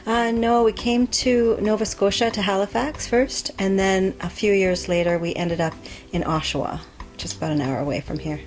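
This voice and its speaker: A woman's voice: medium pitch, steady tone, moderate pace, a little mellow, faint music behind. A middle-aged woman being interviewed as an author, answering thoughtfully with frequent ums and restarts. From Canada. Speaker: author guest.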